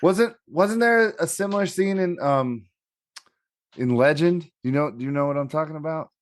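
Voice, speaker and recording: A man's voice: a little high, moderate pace, no echo. A middle-aged man chatting casually as a podcast co-host, slangy and heavily profane. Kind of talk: casual chat.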